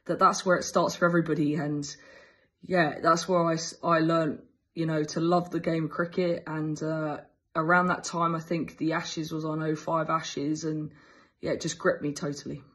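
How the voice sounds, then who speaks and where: A woman's voice: deep voice, flat delivery, normal speed, fairly bright tone, slightly rough. An English woman in her thirties, a cricket coach answering interview questions enthusiastically over a video call, with frequent fillers and restarts. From England. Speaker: fast bowling coach. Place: indoors, small room. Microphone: earbuds on a video call.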